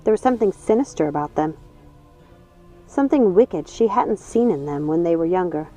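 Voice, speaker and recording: A woman's voice: fairly low pitch, expressive, normal speed, mellow. A woman reading a storybook as a scripted audiobook narration. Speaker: audiobook reader. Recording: dull lo-fi sound, music underneath. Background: music.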